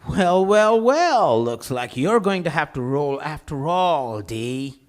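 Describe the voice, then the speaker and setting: A man's voice: on the high side, sing-song, slow. A man playing a smug, mocking, sexist rival in a live comedy table read, with a taunting, sneering delivery.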